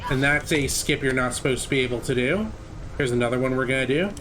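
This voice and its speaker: A man's voice: mid-range voice, quick pace, noisy background. A man giving live speedrun commentary in a casual, self-critical way as he plays. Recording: commentary over loud game music. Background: game music.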